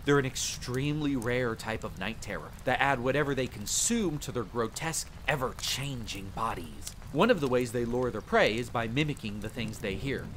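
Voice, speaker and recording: A man plays an eager know-it-all monster hunter in an audio drama. He lectures precisely with lively, varied pitch, over faint background ambience. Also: slight background noise, close to an everyday voice. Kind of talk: scripted audio drama exposition.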